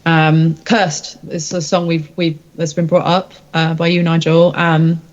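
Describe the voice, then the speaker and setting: A woman's voice: low-pitched, flat delivery, measured pace, dull audio. A woman, a rock singer-songwriter, chatting casually in an interview in an informal, rambling, self-deprecating way.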